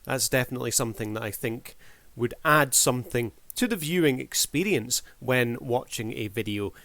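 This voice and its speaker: A man's voice: medium pitch, normal speed, pushed and bright, dry recording. A man hosting a casual video review, commenting in a showman-like way as he addresses his viewers.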